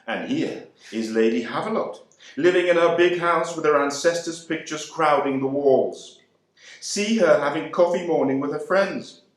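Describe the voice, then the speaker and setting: A man performing a dramatic literary monologue in a measured, deliberate, emphatic way. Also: on the high side. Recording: very echoey room.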